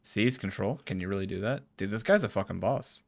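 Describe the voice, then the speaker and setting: Low voiced guy streamer reading game cutscene lines out loud, trips on words, casual reactions. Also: fairly quick, gravelly, dry recording. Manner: stumbles while reading formal dialogue, swears casually.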